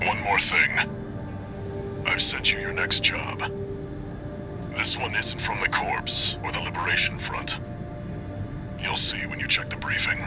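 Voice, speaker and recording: An actor playing a gruff older mercenary handler in a video game, terse and commanding in a low breathy murmur. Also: male voice, a little slow, pauses often. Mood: grave, businesslike. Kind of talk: video game cutscene voice acting. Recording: loud music under the voice.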